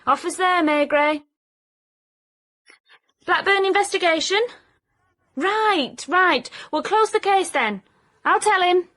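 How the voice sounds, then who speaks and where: A cheeky Yorkshire sidekick in a radio comedy drama. Her voice sits high, and she needles with brisk, deadpan, no-nonsense wit. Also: heavily acted. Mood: playful. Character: cheeky, no-nonsense Yorkshire woman, detective's sharp-tongued assistant. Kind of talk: comic radio drama acting.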